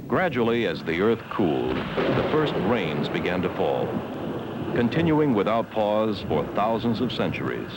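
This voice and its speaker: Male documentary narrator, low and formal, varied intonation, vintage 1970s film sound. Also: big pitch swings, heavy background noise. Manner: measured, formal, instructional. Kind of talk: scripted educational film narration.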